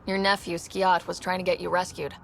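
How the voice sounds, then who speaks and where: A woman's voice: fairly low pitch, level tone, brisk, gravelly, slight background noise. An actress playing a helpful, direct young female space explorer in a video game. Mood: helpful, curious.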